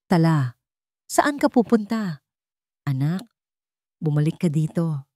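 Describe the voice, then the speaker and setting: A woman's voice: deep voice, big pitch swings, slow, dark tone, no room sound, clean studio sound, exaggerated voice. A woman voicing a distraught, pleading mother of a young child in a dramatic story. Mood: desperate calling. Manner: pleading, anguished.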